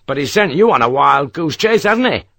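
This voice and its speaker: A man's voice: fairly high pitch, animated, moderate pace, vocal fry, a bit bright, no echo, clean studio sound, voice pushed up, full character voice. A man doing a hot-tempered British officer. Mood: angry. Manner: curt, swearing, clipped retorts. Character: weary, hot-tempered British rifle lieutenant.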